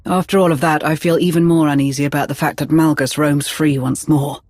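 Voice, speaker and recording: A man's voice: a little high, fairly even intonation, fairly quick, a little mellow, dry recording, natural voice. A man voicing an earnest, uneasy Imperial aide in a video game cutscene, speaking in a formal, concerned way.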